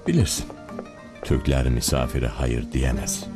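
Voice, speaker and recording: Very deep, deliberate priest. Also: male voice, music in the background. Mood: measured, scheming. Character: calculating old priest testing another nation's morals. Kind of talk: animated film dialogue.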